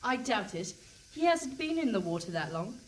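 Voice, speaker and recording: A woman's voice: fairly high pitch, very animated, normal speed. A woman playing a cool, confident young private investigator with a dry manner, in a comic radio play on stage. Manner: dry, matter-of-fact. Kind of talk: comic radio play before a live audience. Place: hall stage with a live audience. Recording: distant stage pickup with some noise.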